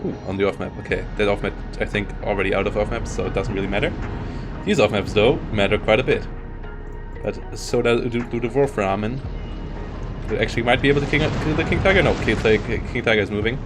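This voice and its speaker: A man's voice: lowish voice, fast, music in the background. Rambling man casting a strategy game. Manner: rambling, self-interrupting, frequent restarts. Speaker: esports caster. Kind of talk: live game commentary.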